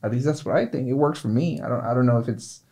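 Man, podcast co-host, mid pitch, easygoing, reflective. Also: fast, dark tone. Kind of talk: casual podcast conversation.

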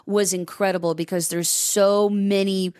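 A woman's voice: medium pitch, level tone, normal speed, darkish tone, dry recording. Enthusiastic woman interviewer, dry sound. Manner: enthusiastic, admiring and rambling, with many restarts. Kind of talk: casual interview.

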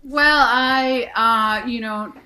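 A woman's voice: sits high, slow, bright, forceful tone, low-quality recording. A woman from Denmark, an actress and musician, answering interview questions candidly with many restarts and fillers.

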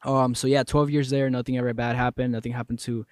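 A man's voice: medium pitch, level tone, fast, no echo, clean studio sound. A young man from Colombia, a native Spanish speaker, chatting casually as a podcast guest in a laid-back, slangy way. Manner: laid-back slangy storytelling with many fillers.

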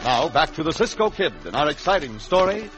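An actor serving as the dramatic, formal narrator of an old-time radio serial. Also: male voice, on the high side, big pitch swings, brisk, poor audio. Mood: suspenseful. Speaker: announcer. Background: music.

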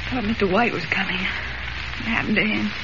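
A woman's voice: medium pitch, steady tone, normal speed, dull audio, lightly acted. Woman acting a distraught radio heroine, noisy old recording. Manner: emotional, melodramatic. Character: distraught, self-blaming young newspaperwoman. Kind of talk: 1940s radio serial acting.

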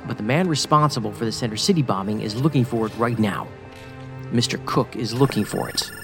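A man's voice: medium pitch, a little fast, natural voice. A man playing a stubborn, sardonic federal agent in a science fiction audio drama, speaking dryly and insistently. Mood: intent, dry. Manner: dry, insistent, sarcastic. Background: music.

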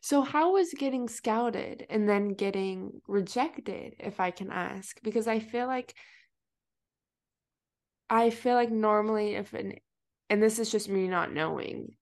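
A woman's voice: mid-range voice, unhurried. A young woman hosting a podcast, chatting casually with lots of fillers and restarts. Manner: chatty, many fillers and restarts, laughs often. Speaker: podcast host. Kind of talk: casual interview chat.

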